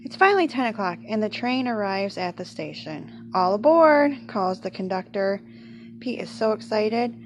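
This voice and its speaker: A woman reading a children's picture book aloud in an expressive storytelling manner. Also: medium pitch, normal speed. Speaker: storybook reader.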